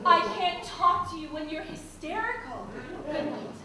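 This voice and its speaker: A woman playing a high-pitched, tearful young bride. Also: expressive, a little slow, heavy reverb, distant mic, crowd noise. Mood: resolute. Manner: tearful, laughing through outbursts. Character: impulsive young newlywed wife, dramatic. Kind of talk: stage play acting.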